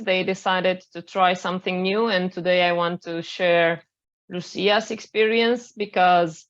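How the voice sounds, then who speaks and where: A woman from Romania hosting a live online interview, often pausing and restarting her sentences. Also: mid-range voice, fairly bright tone, muffled recording. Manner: hesitant, restarts phrases often. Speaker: interview host. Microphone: video call.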